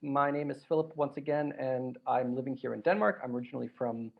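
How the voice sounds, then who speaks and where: A man from Croatia, hosting a casual interview podcast in a relaxed, chatty way. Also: mid-range voice, a little fast, creaky. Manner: relaxed, chatty, with restarts and filler. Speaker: podcast host. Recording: lo-fi podcast audio.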